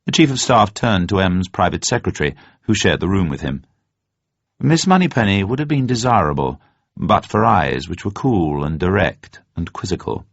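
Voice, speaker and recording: Deep guy reading a spy story, formal and measured, very dry close sound, kinda muffled. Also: very animated, gravelly, no room sound. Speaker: audiobook narrator. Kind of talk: dramatised scripted narration.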